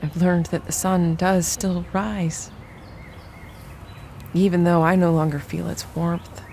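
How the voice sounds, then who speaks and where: A woman playing a bereaved mother, emotionally hollow and bitter, giving a somber, measured dramatic monologue. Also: fairly low pitch, little pitch movement, warm tone, slight background noise. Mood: hollow grief. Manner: measured, somber, poetic delivery. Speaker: audio drama actor.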